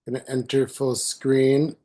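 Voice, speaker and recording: A man with a hoarse voice from a cold, giving a presentation on a video call with many fillers and restarts. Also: medium pitch, completely flat delivery, a little slow, warm tone. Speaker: presenter at community meeting.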